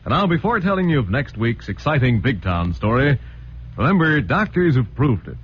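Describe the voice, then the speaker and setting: A man's voice: medium pitch, moderate pace, slight background noise. A male radio narrator and announcer giving scripted closing remarks and credits in a polished style. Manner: polished, lively announcer delivery. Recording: dull old radio broadcast over music.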